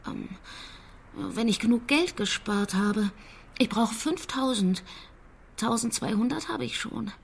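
A woman's voice: a little high, animated, a little slow, vocal fry, slightly rough, full character voice. A woman playing an eccentric, idealistic assistant in a radio drama, speaking in an earnest, dramatic way. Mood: wistful.

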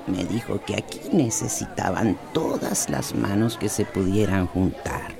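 A woman playing a kind, devout old villager in a radio drama, speaking slowly and reassuringly. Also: extremely low pitch, gravelly, crowd noise, full character voice. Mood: gentle, consoling. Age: older. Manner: slow, reassuring.

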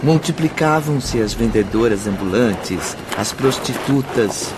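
A man narrating a radio drama in an expressive, storytelling manner. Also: medium pitch, slow pace, background noise.

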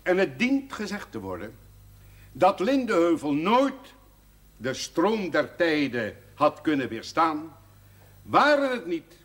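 A proud older company director in a period TV drama. He speaks solemnly and very slowly, his pitch swelling and sinking widely as he delivers a formal jubilee address. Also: a bit bright, breathy edge, exaggerated voice. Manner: solemn, oratorical, deliberate, with emphatic swells. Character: proud older male brewery director giving a formal speech.

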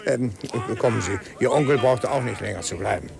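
An actor playing a brisk male employee who deliberately recounts a dead boss's routine, in 1950s German radio drama. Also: mid-range voice, creaky. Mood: businesslike, inquiring. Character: brisk male employee guiding the heir through his uncle's routine. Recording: noisy 1950s radio recording.

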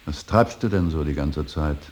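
An actor playing a stern, brooding old painter and father in a radio drama, solemn and intense, moving between hushed breathy words and forceful declaiming. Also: extremely low pitch, normal speed. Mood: stern reproach. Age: older. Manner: solemn, intense, swings from hushed whisper to outburst. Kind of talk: 1980s German radio drama acting. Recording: hissy radio drama recording.

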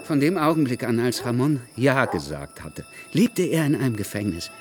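An actor playing a bitter, tormented Cuban writer who confides in a friend in a radio drama, emphatic and prone to swearing. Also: male voice, mid-range voice, expressive, normal speed, slight background noise, natural voice. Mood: bitter, agitated.

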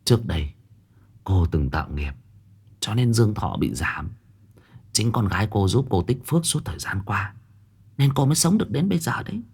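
A man voicing an old female fortune-teller who speaks gravely and solemnly, in a low, husky voice. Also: sing-song, moderate pace, pauses often, darkish tone, full character voice.

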